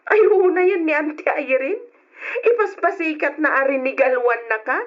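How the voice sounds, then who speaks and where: A woman's voice: sits high, moderate pace, natural voice. A woman playing a chatty, teasing, nosy young cousin in a radio drama, with an Ilocano accent. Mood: gossipy, teasing. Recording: muffled old radio drama.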